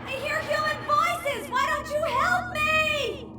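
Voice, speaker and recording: Casting: imprisoned fantasy heroine for a game, piercingly high, slow pleading delivery, defiant. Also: female voice, music in the background. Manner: pleading, crying out. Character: desperate, defiant captive heroine. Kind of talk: video game voice acting.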